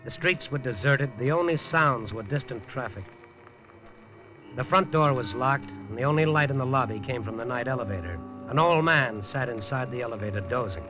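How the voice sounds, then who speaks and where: A man's voice: mid-range voice, expressive, normal speed, music in the background, natural voice. An actor playing a hard-boiled male newspaper reporter, narrating dryly and tersely with wisecracks in a 1950s radio drama. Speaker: lead narrator. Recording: dull 1950s radio broadcast.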